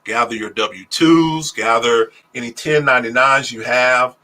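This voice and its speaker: A man who is a pastor and a tax preparer, giving a casual livestream talk on taxes. He speaks slowly and deliberately, with many "uh" fillers. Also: mid-range voice, pushed and bright. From Oklahoma, US. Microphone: phone.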